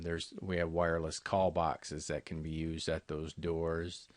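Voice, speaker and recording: Male product presenter, low register, conversational and slightly halting delivery, close dry sound. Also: no room sound. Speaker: intercom company salesperson presenting products. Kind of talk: unscripted product walkthrough over screen recording.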